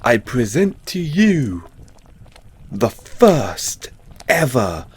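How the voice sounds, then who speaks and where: A man playing a giddy, affectionate mad scientist boyfriend in an audio roleplay, excitable and theatrical, coaxing the listener along. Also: medium pitch, drawn-out words, slight background noise. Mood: giddy, excited.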